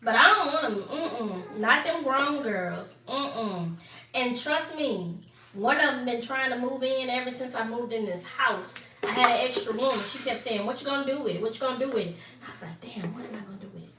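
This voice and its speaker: A woman's voice: fairly high pitch, expressive, normal speed, pushed and bright. A woman in her forties chatting casually with her viewers on a live stream, talking straight to them and laughing often. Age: 40s. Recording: echoey room, mic at a distance, faint noise.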